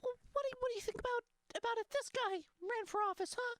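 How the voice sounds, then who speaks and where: A man voicing an indignant, whiny man running for office with a very high, squeaky voice. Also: fast, fairly bright tone, dry recording.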